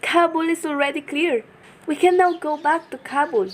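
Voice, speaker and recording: A woman's voice: high-pitched, moderate pace, a little hiss or hum, voice pushed up, natural voice. A woman voicing a caring young husband in a student radio play, earnest and concerned. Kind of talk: amateur radio play acting.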